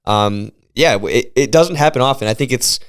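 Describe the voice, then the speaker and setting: A man's voice: medium pitch, normal speed, clear, resonant tone. A man talking as league commissioner in a casual podcast chat, congested from a cold, explaining things in an upbeat way.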